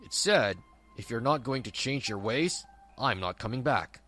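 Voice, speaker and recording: A man's voice: mid-range voice, lively intonation, normal speed, bright, forceful tone, soft background music. A man voicing an older father, humbled and supportive, in a manga dub. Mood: reflective. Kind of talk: scripted manga dub dialogue.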